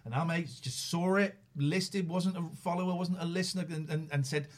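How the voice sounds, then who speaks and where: A man's voice: fairly high pitch, moderate pace. A man co-hosting a live show, joking and rambling through casual chat. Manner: jokey, teasing, rambling.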